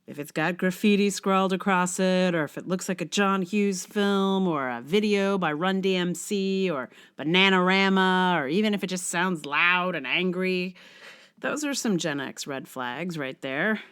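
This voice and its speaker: Middle aged lady doing her podcast, lowish voice, stuffy from a cold, kinda wry and chatty. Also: moderate pace, a bit bright, clean tone. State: congested with a cold. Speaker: podcast host. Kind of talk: casual intro, then reading own blog essay aloud.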